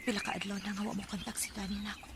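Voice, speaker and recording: A woman's voice: medium pitch, little pitch movement, rapid-fire, close to an everyday voice. An actress playing an anxious, tender young working woman in a Filipino radio drama, speaking with a Bisaya accent. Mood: worried, yearning. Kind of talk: Filipino radio drama acting and first-person narration. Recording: noisy radio drama with ambient effects.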